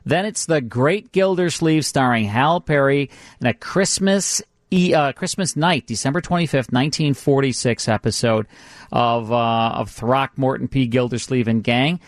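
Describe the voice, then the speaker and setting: A man's voice: medium pitch, moderate pace, dry recording, studio quality. A man hosting a live radio show in an upbeat, conversational, chatty way. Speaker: radio host.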